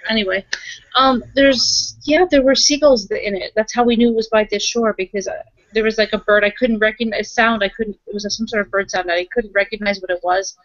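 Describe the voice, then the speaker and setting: A woman improvising roleplay conversation, thinking aloud haltingly and often repeating her phrases. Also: mid-range voice, fairly even intonation, no room sound, lo-fi sound. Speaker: tabletop roleplaying player and game runner.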